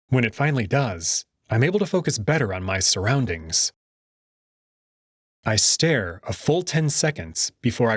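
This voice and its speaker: A man's voice: medium pitch, slightly rough, no room sound. A man reading a visual novel as dramatic first-person scripted narration. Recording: dry close voice over faint music.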